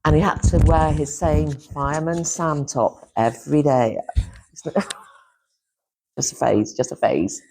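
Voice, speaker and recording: A woman's voice: low voice, fairly even intonation, measured pace, dark tone, slight background noise, rough recording. A middle-aged woman from Yorkshire, chatting as an interview guest in a rambling way, with frequent laughs and restarts. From Yorkshire, England. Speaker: interview guest, former publisher and teacher. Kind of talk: casual chat.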